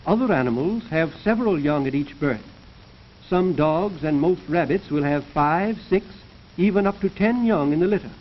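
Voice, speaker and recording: High-voiced man narrating vintage educational film. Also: expressive. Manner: formal, measured lecturing. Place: recorded voice-over for a film. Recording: dull, noisy 1930s film soundtrack.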